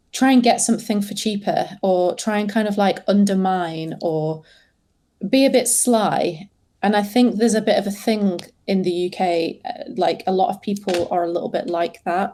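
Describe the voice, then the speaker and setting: A woman's voice: mid-range voice, dark tone. An English woman being interviewed as a social media manager, talking casually with many fillers and restarts. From England.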